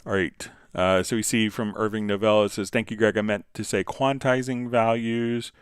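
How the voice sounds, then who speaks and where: Guy with a lowish clean voice doing a casual software q&a, kinda rambly, dry close mic. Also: steady tone, moderate pace, clear, resonant tone, no room sound. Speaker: livestream host answering viewer questions. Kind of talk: unscripted software tutorial, casual explaining.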